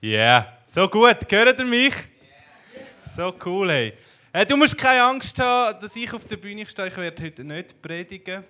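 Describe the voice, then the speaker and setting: Bright, upbeat host. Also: male voice, high voice, big pitch swings, a little slow. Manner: upbeat, hyping the crowd. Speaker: church host. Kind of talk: stage announcement. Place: large church hall on stage.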